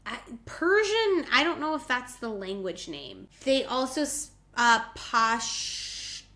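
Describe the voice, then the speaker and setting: A woman's voice: on the high side, very animated, slow, sharp tone. A woman from the United States co-hosting a podcast, chatting casually and often saying "like". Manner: chatty, peppered with "like". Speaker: podcast co-host. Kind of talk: casual chat.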